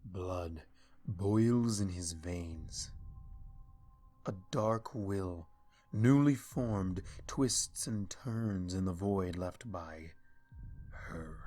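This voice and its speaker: A man reading a dark fan story aloud in a slow, hushed, brooding way. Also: low voice. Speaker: fanfiction reader. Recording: soft music underneath.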